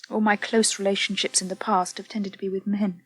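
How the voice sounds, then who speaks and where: A woman's voice: mid-range voice, flat delivery, a little fast, husky, lightly acted. An actress playing a withdrawn, shaken young woman in a naturalistic radio soap, hesitant and subdued. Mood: numb, sad.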